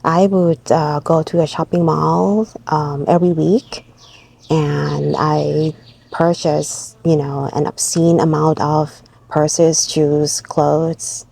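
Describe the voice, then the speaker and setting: Slow, mellow-voiced Thai-accented woman. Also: low-pitched, steady tone, vocal fry, rough recording. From Thailand, Bangkok. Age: 40s. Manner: reflective, candid, personal. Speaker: vlogger. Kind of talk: personal reflective talk to camera.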